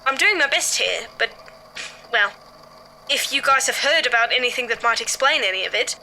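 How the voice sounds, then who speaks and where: A woman plays a rattled young podcast guy with a very bright, fairly high voice. He gives his account in an audio drama haltingly and earnestly, as if talking it through, with a faint noise behind him. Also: lively intonation, full character voice, rough recording. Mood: anxious, pleading. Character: shaken young male podcaster giving a witness statement. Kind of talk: first-person audio drama monologue.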